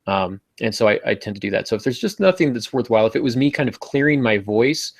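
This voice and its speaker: Gravelly-voiced male podcast host. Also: mid-range voice, expressive, quick pace, no room sound, clean studio sound. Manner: chatty, upbeat, many restarts. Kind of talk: casual writing podcast chat.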